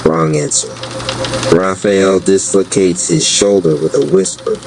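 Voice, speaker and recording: Deep-voiced man for solemn, grandiose fantasy narration, very measured pace, clearly noisy rumbling backdrop. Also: sing-song. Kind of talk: dramatic scripted spoken-word fantasy narration.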